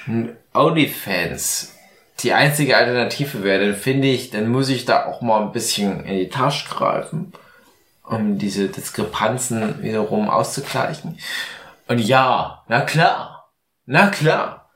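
A man's voice: mid-range voice, takes it slowly, fairly bright tone. A man chatting casually and ironically as a comedic podcast host, rambling and drunk, in an echoey recording. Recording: echoey, roomy sound.

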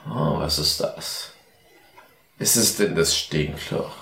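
A man's voice: extremely low pitch, takes it slowly, vocal fry, pushed and bright, echoey room, slight background noise. A man co-hosting a podcast, drunk, bantering casually in a theatrical, clowning way. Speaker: podcast co-host.

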